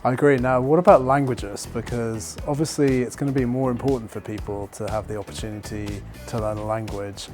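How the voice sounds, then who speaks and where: Guy asking the questions, relaxed and friendly, faint music under him. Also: mid-range voice, a little fast, few pauses. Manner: friendly, casual, some fillers. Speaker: interviewer, company director. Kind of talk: conversational interview.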